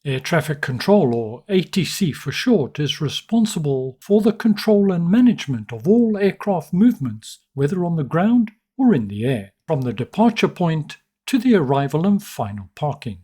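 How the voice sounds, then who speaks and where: A man's voice: on the high side, big pitch swings, moderate pace, hardly any pauses, dark tone, breathy edge. A man narrating an instructional tutorial in an orderly, explanatory way. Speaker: flight sim tutorial narrator.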